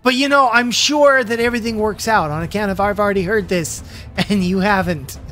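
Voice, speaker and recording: A man hosting a show with a casual introduction, playful, rambling and halting. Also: high-pitched, bright, forceful tone, soft background music. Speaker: host.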